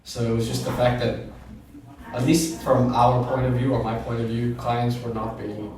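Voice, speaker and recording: A man in his thirties, a gym co-founder, talking in a measured, reflective way on a podcast and often stopping to rephrase. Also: medium pitch, monotone, darkish tone, distant mic. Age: 30s. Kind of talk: podcast conversation. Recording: roomy echo, off-mic, faint noise.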